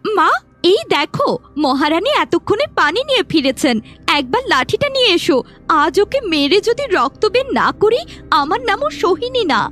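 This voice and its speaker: Jealous young cartoon stepsister, acted by a woman, high-pitched, very animated, mocking, bullying, fairy-tale cartoon. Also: normal speed, faint music behind, exaggerated voice. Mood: gleeful spite. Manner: taunting, scolding.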